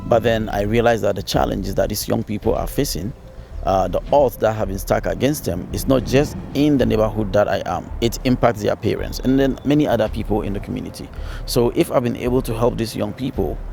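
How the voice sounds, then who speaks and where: A man's voice: fairly low pitch, a little fast, gravelly, dark tone, faint music behind. A man from Ghana, a city councilor, giving earnest interview answers with fillers and repeated phrases.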